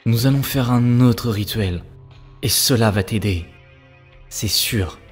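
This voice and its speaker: A man's voice: fairly low pitch, takes it slowly, soft background music. A man playing an earnest, devoted young spiritual seeker in love, in solemn cartoon dub dialogue. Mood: determined.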